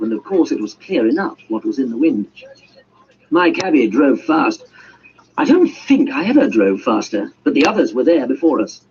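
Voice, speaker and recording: Guy reading an audiobook, creaky voice, big swoopy delivery, dull hissy audio. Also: mid-range voice, a little mellow. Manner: theatrical, voices dialogue. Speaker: audiobook narrator. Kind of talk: dramatic storytelling, scripted narration. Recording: muffled, noisy amateur audiobook recording.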